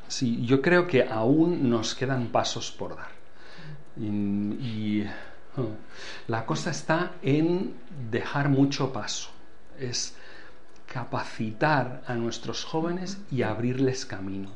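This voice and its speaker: A man's voice: medium pitch, lively intonation, takes it slowly. Older man, foreign accent, church leader, earnest interview talk, repeats phrases, faint hiss behind. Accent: foreign accent in Spanish. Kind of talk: interview answers.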